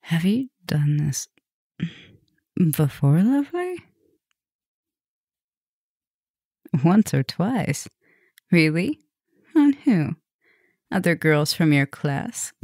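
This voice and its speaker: A woman playing an affectionate, teasing, dominant vampire professor in a soft, intimate ASMR roleplay. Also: low-pitched, no echo, studio quality, exaggerated voice. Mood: teasing, relaxed.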